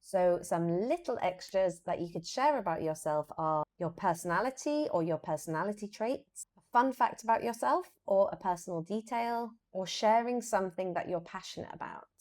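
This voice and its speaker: A woman with a British accent presenting an English lesson as a teacher, explaining deliberately and modelling example phrases with emphasis. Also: mid-range voice, a little mellow.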